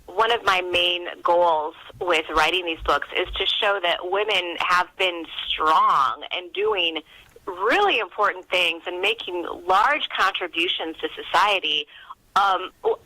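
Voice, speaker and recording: American woman, middle-aged, bright forceful voice, very dry sound, conversational author interview. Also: on the low side, unhurried, no room sound, poor audio. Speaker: author, podcast guest.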